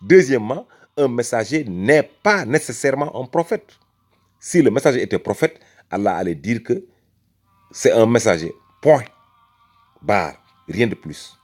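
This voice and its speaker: Emphatic man with a lively rising voice. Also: medium pitch, a little slow, long pauses. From Senegal. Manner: emphatic, rhetorical, repeats phrases and addresses the listener as brother. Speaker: religious speaker. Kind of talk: impassioned religious argument.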